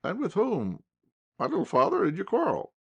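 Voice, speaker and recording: A man's voice: high-pitched, huge pitch swings, moderate pace, gravelly, husky, dry recording, voice pushed up, heavily acted. A man voicing a fussy, nervous garrison officer who talks in a fretful, pleading way.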